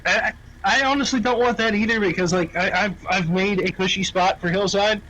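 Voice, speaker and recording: A man with a notably high voice speaks in casual roleplay voice chat. His talk is blunt and full of swearing. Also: steady tone, brisk, pushed and bright, low-quality recording. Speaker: roleplay gamer.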